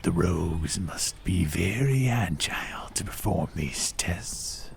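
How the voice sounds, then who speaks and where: A man's voice: low-pitched, animated, takes it slowly, vocal fry, slight background noise, exaggerated voice. An actor playing a proud, stoic male wizard in an audio drama, muttering dryly. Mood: wry. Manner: muttering, dry.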